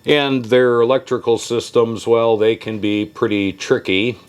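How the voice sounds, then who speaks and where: A man's voice: mid-range voice, steady tone, a little slow, clean tone. A man giving an informal how-to walkthrough as a video host, with a folksy, plainspoken manner. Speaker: host of a car audio tutorial channel.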